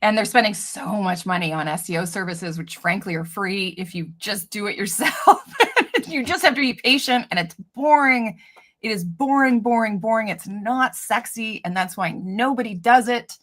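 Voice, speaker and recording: A woman's voice: mid-range voice, normal speed, a bit bright. A woman from Canada hosting a casual interview, chatty and laughing often as she gives business advice. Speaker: host, cleaning business coach.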